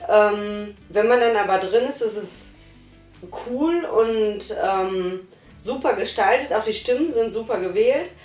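Zögerliche Frau, langsam und hallig. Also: female voice, mid-range voice, measured pace, a little mellow, reverberant, distant mic, faint music behind. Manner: hesitant, many fillers. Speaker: reviewer. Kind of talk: casual review chat. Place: small living room, on a sofa. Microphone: far camera.

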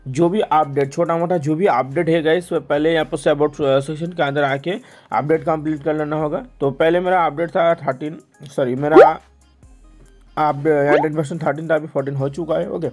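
Higher-pitched man narrating a phone tutorial. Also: fairly even intonation, normal speed, a little mellow. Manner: repetitive instructional phrasing, addressing viewers directly. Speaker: tech tutorial host. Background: background music.

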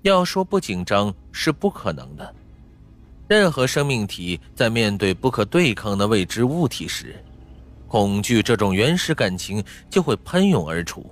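Low-voiced, expressive male audiobook narrator. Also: moderate pace. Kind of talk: scripted dramatic fiction narration. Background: faint background music.

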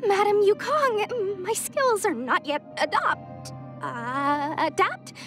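Very high, earnest girl warrior. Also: animated, slow, heavily acted. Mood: flustered, eager. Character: earnest, flustered, eager young swordswoman. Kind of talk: anime game voice acting. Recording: music behind the voice.

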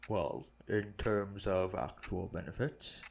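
A man playing a calm, protective orc head of security, speaking in a measured, reassuring way in an audio roleplay. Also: sits low, creaky, heavy background noise, full character voice. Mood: concerned, reassuring. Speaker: voice actor. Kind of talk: intimate audio roleplay acting.

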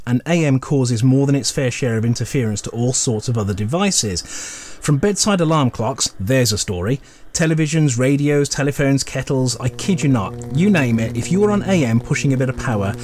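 A man's voice: mid-range voice, lively intonation, fairly quick, a little mellow. A middle-aged British man giving a chatty, scripted retrospective narration with wry, colloquial humour. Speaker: presenter.

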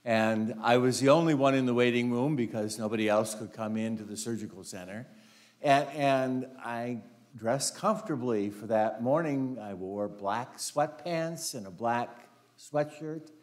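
A man's voice: medium pitch, a little slow. Older man, priest, chatty homily, easy laugh, lapel mic, big church. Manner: chatty storytelling, frequent "you know", laughs easily. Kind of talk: conversational homily. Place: large carpeted church sanctuary. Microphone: lapel mic, walking in front of altar.

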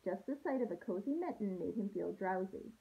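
A woman's voice: medium pitch, expressive, brisk, vocal fry, breathy edge, dull audio. A young adult woman reading a picture book aloud to children, expressively, with simple questions for her listeners, recorded indoors at home. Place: small room at home, indoors.

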